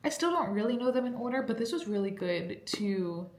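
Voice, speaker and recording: A woman's voice: mid-range voice, animated, brisk. Chatty young woman, congested, echoey room. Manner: chatty, rambling with many fillers. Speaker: book vlogger. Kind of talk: casual vlog chat. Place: cold basement room at home.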